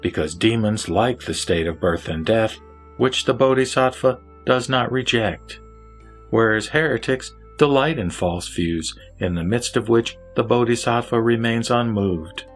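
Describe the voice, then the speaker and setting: A man's voice: medium pitch, a little slow, faint music behind, close to an everyday voice. A man playing a wise, ailing lay sage who teaches in a solemn, formal way in a scripture audio drama. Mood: solemn, instructive.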